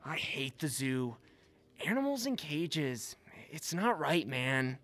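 A man's voice: a little high, sing-song, slow pace, a bit bright, no echo, exaggerated voice. Man playing a goofy slacker young dude. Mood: laid-back. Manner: casual slangy, dude-and-bro talk. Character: slacker video store clerk, goofy laid-back young man. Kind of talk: comedic audio drama acting.

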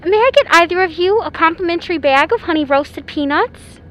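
An actress playing a chirpy, unruffled flight attendant with breezy service patter in a comic radio drama. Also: female voice, very high voice, lively intonation, brisk, fairly bright tone.

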